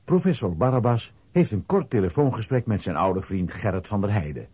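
A man with a husky voice narrating a scripted children's audio play. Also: on the low side, animated, a little fast, warm tone, no room sound.

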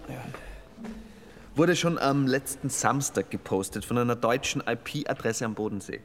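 A man's voice: mid-range voice, normal speed, long reverb tail, a little hiss or hum, lightly acted. A man playing an eager police investigator. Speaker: police colleague.

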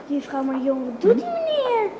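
A woman's voice: extremely high pitch, wildly swooping pitch, moderate pace, noisy background, exaggerated voice. A woman playing an eager, curious, chatty little girl in a radio drama.

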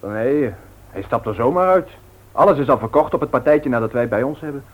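An actor playing a brisk, insistent young man in a Dutch radio play. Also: mid-range voice, animated, close to an everyday voice. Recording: tinny, narrow-band old radio recording.

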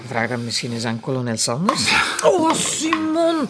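A woman's voice: extremely low pitch, wildly swooping pitch, moderate pace, a crowd in the background, heavily acted. An actress with a Flemish accent playing a teasing, level-headed wife and mother in an audio drama. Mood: brisk. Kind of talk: naturalistic radio drama dialogue.

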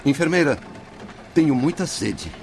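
A man's voice: a little high, very animated, unhurried, vocal fry, a little hiss or hum, heavily acted. A man acting a weary, conciliatory rescued soul, earnest and pleading, in a radio drama.

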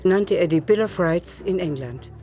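A woman's voice: lowish voice, expressive, normal speed, warm tone, soft background music. A woman narrating a literary radio drama as a storyteller.

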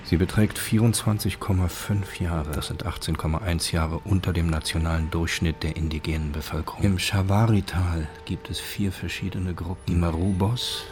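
A man's voice: deep voice, steady tone, normal speed, hardly any pauses, a little mellow, a little hiss or hum. A man giving a formal scripted reading of documentary reports. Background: clear music.

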